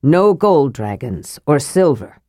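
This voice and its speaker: A deep, grave old gold dragon speaks in slow, formal, stern tones, as a woman reader puts him on. The voice is dry and close, with no room around it. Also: big pitch swings, a little mellow, dry recording, full character voice.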